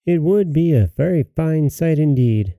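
A man's voice: on the low side, normal speed, mellow, no echo, lightly acted. A man voicing a rude, proud young daughter in a storybook, pert and haughty. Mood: scornful. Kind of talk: storybook character reading.

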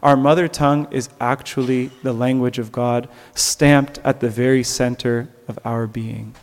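Preacher, male, mid-pitched, earnest delivery with emphatic peaks, echoing church hall. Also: flat delivery, unhurried. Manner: earnest, rising to emphatic peaks, with light laughs. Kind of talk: sermon.

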